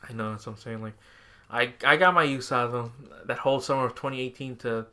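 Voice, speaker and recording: A man's voice: mid-range voice, fast, pushed and bright. A man co-hosting a podcast in casual, chatty conversation. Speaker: podcast co-host. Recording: clear background noise, room ambience.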